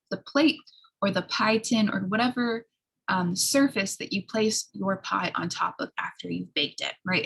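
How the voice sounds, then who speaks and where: A woman who sounds a little hoarse, giving an explanatory college writing lecture in an instructional way, often checking in with short tag questions. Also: medium pitch, poor audio. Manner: instructional, frequent checking tags like "right?". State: slightly hoarse.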